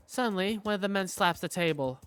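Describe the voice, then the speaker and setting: A narrator reading first-person scripted visual novel story text in an expressive storytelling manner. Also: mid-range voice, brisk, clear, resonant tone, no room sound, faint music behind. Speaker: narrator and lead character.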